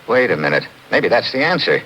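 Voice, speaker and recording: An actor playing a tough, slangy American investigator who narrates in clipped 1950s radio adventure style. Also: male voice, mid-range voice, very animated, fairly quick, muffled recording, natural voice. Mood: brisk, determined. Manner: clipped, hard-boiled. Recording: noisy old 1950s radio recording.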